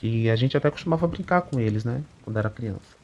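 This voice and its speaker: A man narrating a first-person supernatural account in a conversational, unhurried way, often adding little tag questions. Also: mid-range voice, animated, a little mellow, a little hiss or hum. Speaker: channel narrator reading a listener's account. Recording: muffled recording with faint rain behind.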